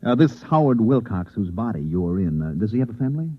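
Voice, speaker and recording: A man's voice: fairly low pitch, sing-song, fast talker. An actor in an old-time radio drama playing a patient, skeptical newspaperman, soothing and colloquial with small hesitations. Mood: calm, skeptical. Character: patient, skeptical newspaperman humoring a troubled stranger. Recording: tinny, narrow-band old radio recording.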